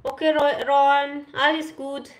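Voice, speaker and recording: A woman's voice: high-pitched, level tone, slow. A woman of thirty-eight, Filipino by birth, chatting casually with livestream viewers in simple German, mixing in some English while she works in her home kitchen. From Philippines. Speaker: vlogger. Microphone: camera on the counter. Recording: echoey kitchen with running water. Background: water and kitchen clatter.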